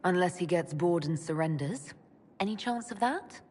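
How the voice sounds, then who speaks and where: An actress playing a composed, supportive young elven heroine in a video game, calm, understated and gently wry. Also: female voice, on the low side, normal speed, big-room sound, lightly acted. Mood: gentle, wry. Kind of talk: dramatic video game dialogue.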